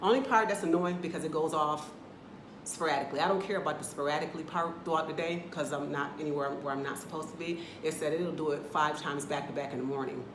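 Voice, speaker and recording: Low-pitched American woman vlogger, loose and candid, in a roomy space with steady noise behind her. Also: quick pace. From United States, Atlanta area. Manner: chatty, candid, talks in loose run-on asides. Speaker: travel vlogger. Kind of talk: casual to-camera vlog. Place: indoors in a rental apartment.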